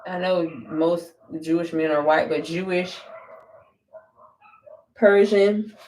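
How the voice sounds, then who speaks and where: A woman's voice: on the low side, takes it slowly, a little mellow, low-quality recording. Profane, chatty woman livestreaming from home. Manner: blunt, profane, chatty, repeats herself. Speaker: livestream vlogger. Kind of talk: casual chat while doing her hair. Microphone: phone livestream.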